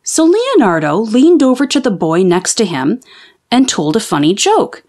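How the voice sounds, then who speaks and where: A woman reading a children's picture book aloud in an expressive, deliberate storytelling style. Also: medium pitch. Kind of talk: storybook read-aloud narration.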